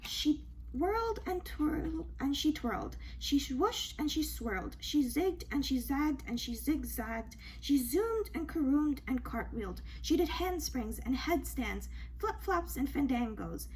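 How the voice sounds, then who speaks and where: A woman reads a children's storybook in a high-pitched, very animated voice. She is expressive and dramatic, leaning on questions and little asides. There is some noise behind her. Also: unhurried, rough recording. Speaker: storybook reader. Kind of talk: expressive children's read-aloud.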